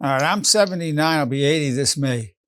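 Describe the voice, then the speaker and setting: A man's voice: fairly high pitch, fairly quick, fairly bright tone, smooth. An older man in his late seventies, a psychologist giving an earnest motivational lesson and repeating his key phrases. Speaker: psychologist teaching an online lesson. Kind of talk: motivational lecture.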